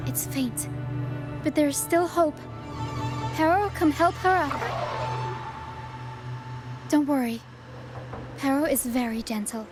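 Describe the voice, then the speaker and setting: An actress voicing a gentle, dutiful young first mate in a video game, speaking in a soft, earnest, reassuring way. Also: female voice, sits high, slow, long pauses. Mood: hopeful, gentle. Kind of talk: English video game voice acting. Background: music.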